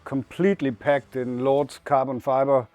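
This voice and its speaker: A man's voice: mid-range voice, flat delivery, moderate pace, a little mellow, smooth, dry recording, lo-fi sound. A man in his late thirties from Denmark, speaking English with a Danish accent as a co-owner of a motorcycle shop, adding short, plain remarks. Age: 30s. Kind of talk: casual chat. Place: motorcycle workshop showroom.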